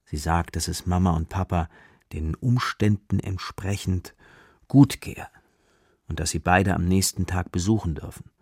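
A man with a gravelly, husky voice narrating a children's radio play as a storyteller. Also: sits low, steady tone, unhurried, no room sound, clean studio sound.

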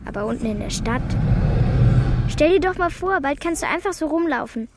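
A woman's voice: high voice, lively intonation, normal speed, very noisy. An actress playing an earnest, youthful girl who is a devoted friend, in a German children's radio drama. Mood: friendly, earnest.

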